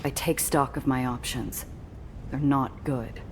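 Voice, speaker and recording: A woman's voice: a bass voice, steady tone, a little slow, background noise. A woman playing a gritty, defiant adventurer in a video game, narrating her own peril in dramatic hardboiled noir style. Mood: tense, wry. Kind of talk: scripted hardboiled noir first-person narration.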